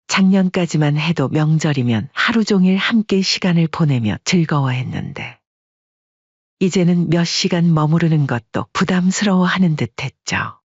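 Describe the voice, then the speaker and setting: A steady narrator tells a family drama in a calm, even way. The voice is husky and mid-pitched. The recording is dry and a bit dull. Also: no echo. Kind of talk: scripted storytelling narration.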